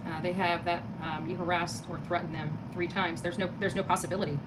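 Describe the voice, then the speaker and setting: American woman, middle-aged, quick pace, emphatic and indignant, casual livestream host, noisy home phone recording. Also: on the low side, flat delivery. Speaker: live stream host. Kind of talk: casual livestream commentary, chatting with viewers. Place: small living room, indoors.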